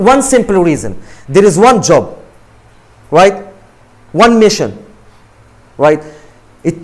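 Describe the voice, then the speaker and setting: A man from Morocco, speaking English as a guest speaker in an emphatic motivational talk to students, often checking in with "right?" and repeating himself. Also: sits high.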